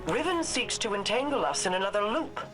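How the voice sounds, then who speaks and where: An actress playing a loyal, stern warrior commander in a video game, with clipped, resolute delivery. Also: female voice, mid-range voice, big pitch swings, brisk, natural voice. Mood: grave, commanding. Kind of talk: video game cutscene acting. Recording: loud music under the voice.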